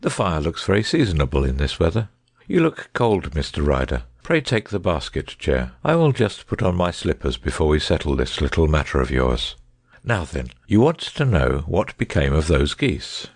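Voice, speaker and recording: A man voicing a suave, assured gentleman detective in an audiobook story, speaking with bland, precise courtesy. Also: rumbling low, big pitch swings, moderate pace, breathy edge, no room sound, studio quality, heavily acted. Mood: cheery, teasing.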